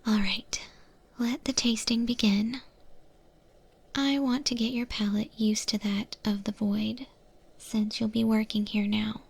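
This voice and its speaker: A woman playing a calm, courteous eldritch monster chef in a soft-spoken, whispered ASMR roleplay. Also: fairly high pitch, vocal fry, husky, heavily acted. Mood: calm, instructive. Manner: slow, gentle, polite, unhurried.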